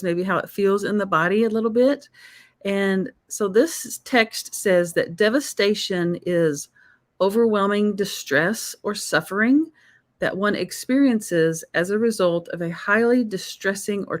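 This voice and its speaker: A woman's voice: medium pitch, unhurried. A woman hosting a conversation show, upbeat and encouraging as she welcomes her guest. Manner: warm, enthusiastic, encouraging. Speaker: host. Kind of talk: video chat show hosting.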